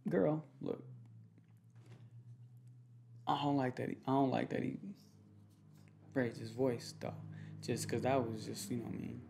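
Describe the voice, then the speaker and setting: Man acting as a mellow, gravelly protective boyfriend. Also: mid-range voice, level tone, a little slow, pauses often, a little hiss or hum. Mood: defensive. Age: young adult. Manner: slangy, repetitive, teasing, soft-spoken. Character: protective, jealous young boyfriend soothing his girlfriend. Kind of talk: ASMR boyfriend roleplay, improvised casual chat. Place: small room at home, indoors.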